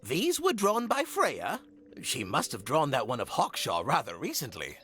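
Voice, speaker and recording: A man playing a sentimental, proper older gentleman in a video game, speaking formally and genteelly. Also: mid-range voice, huge pitch swings, moderate pace, slight background noise. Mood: fond, wistful. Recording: faint music behind.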